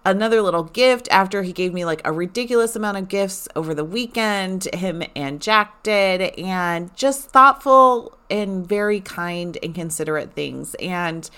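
A woman in her forties chatting casually to her listeners, animated and rambling with lots of fillers. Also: mid-range voice, normal speed, hardly any pauses, clean tone. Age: 40s. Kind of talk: casual personal chat.

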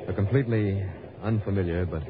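An actor playing a cool, wry private investigator with a dry, easygoing manner in an old radio drama. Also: male voice, lowish voice, brisk, background noise, natural voice. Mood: wry, narrating. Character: cool, wry male private investigator narrating his case. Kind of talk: 1950s-60s radio drama narration and acting. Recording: dull old radio recording, music behind.

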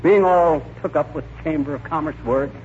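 An actor playing a bumbling, self-important husband with a blustery manner in a 1940s radio comedy. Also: male voice, a little high, normal speed, darkish tone, close to an everyday voice. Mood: blustery, obliging. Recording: dull, noisy 1940s radio recording.

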